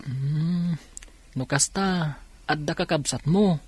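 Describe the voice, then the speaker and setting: A man's voice: fairly high pitch, takes it slowly, a little mellow, husky, no room sound. Man playing earnest young Filipino worker, dry sound. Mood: concerned. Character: earnest, hardworking young male factory worker and elder brother. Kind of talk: radio drama acting.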